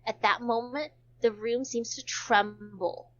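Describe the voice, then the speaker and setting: A woman's voice: fairly high pitch, fairly even intonation, takes it slowly, fairly bright tone, dull audio. A woman reading visual novel text aloud for a stream, stumbling now and then and dropping in casual joking asides.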